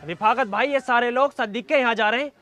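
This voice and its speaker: A man's voice: very high voice, fast talker, sharp tone. A man playing a plain-spoken, reproachful sidekick to a village candidate in a Hindi comedy sketch. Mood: matter-of-fact.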